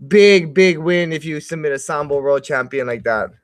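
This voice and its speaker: A man's voice: a little high, moderate pace. A man co-hosting a sports broadcast with casual, exclamatory reactions, often repeating himself. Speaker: radio co-host.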